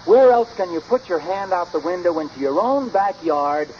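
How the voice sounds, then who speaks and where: A man's voice: high-pitched, animated, normal speed, a little mellow. A man playing a genial, befuddled young husband and father, hesitant and effusive, in a 1940s radio comedy. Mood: cheerfully effusive. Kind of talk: 1940s radio sitcom acting. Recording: noisy, muffled old radio recording.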